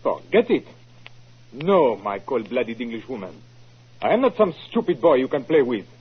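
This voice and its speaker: A jealous lover with a husky voice and wide, swooping pitch. His delivery is intense 1940s radio melodrama, both passionate and menacing. The old recording is dull and muffled. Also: sing-song, normal speed. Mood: furious. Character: passionate, jealous foreign lover turned cold murderer. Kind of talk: 1940s radio drama acting.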